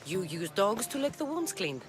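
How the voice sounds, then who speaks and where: An actress playing a blunt, sardonic young mercenary warrior of ancient Greece in a dramatic video game, questioning people bluntly. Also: female voice, mid-range voice, very animated, moderate pace, natural voice. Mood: probing. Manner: blunt, probing. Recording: loud music behind the voice.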